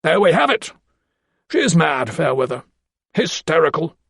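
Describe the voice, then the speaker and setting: A pompous older lawyer, coldly menacing and patronising, his voice pitched a little above the reader's own, as a male narrator puts him on. The read is close and dry. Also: lively intonation, moderate pace, creaky, no room sound. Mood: triumphant. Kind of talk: audiobook character voice.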